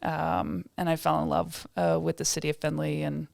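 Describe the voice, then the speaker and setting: A woman's voice: low voice, flat delivery, moderate pace, gravelly, darkish tone, no room sound, clean studio sound. A woman from Indiana, a state development director, chatting as a guest on a podcast, with lots of fillers and restarts. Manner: chatty, many fillers and restarts, self-deprecating laughs. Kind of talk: casual interview talk.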